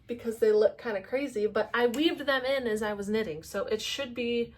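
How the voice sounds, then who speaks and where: A woman in her late twenties chatting casually about her crafting projects in a relaxed monthly update, with the odd self-deprecating joke. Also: on the high side, moderate pace, far from the mic. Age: late 20s. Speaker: crafting vlogger.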